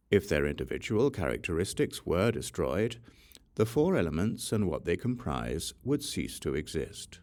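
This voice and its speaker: A man narrating an audiobook of scripture, reading from a script in a measured, solemn way with deliberate pauses. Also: low voice, vocal fry, a little mellow. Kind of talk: scripted reading of a Buddhist sutra.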